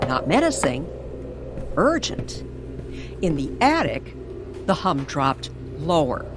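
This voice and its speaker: A woman narrating a scripted, old-time radio style mystery story with deliberate, suspenseful phrasing. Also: lowish voice, background noise. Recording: music playing behind the voice. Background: music.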